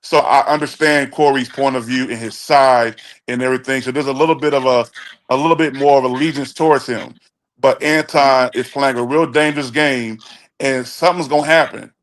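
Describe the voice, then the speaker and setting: A man in his mid-forties from Chicago gives his opinions in a blunt, emphatic, casual way. He often stumbles and restarts mid-sentence. His voice sits at an ordinary middle pitch. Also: steady tone, brisk. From Chicago, USA. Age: 40s. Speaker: guest caller on a talk show.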